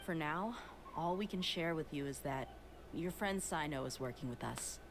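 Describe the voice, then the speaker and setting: An actress playing a composed, mysterious young woman in a video game, speaking in a calm, guarded way. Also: sits low, moderate pace. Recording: game audio with clear background hiss.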